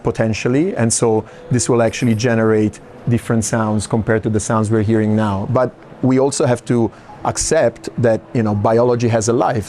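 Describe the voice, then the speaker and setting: Male curator-moderator, explanatory and measured, middle register, busy venue clearly audible behind him. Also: medium pitch, a little mellow, slight background noise. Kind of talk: conversational moderating. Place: busy art fair hall.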